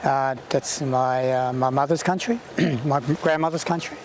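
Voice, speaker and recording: An Australian man from Perth, the lead researcher, explaining his project in a measured way with frequent hesitations. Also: medium pitch, slight background noise. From Perth, Western Australia. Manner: measured, with hesitations and throat clearing. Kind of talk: interview, reflective explanation.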